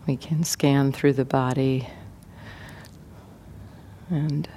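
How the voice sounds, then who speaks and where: A woman meditation teacher leading a guided meditation gently and without hurry, leaving many pauses. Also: extremely low pitch, steady tone, a little hiss or hum.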